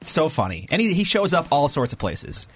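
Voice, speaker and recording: A man's voice: on the high side, big pitch swings, normal speed, a bit bright, faint music behind. Giggly man on morning radio. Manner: giggly, playful, self-mocking. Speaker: radio co-host. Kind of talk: casual morning radio banter.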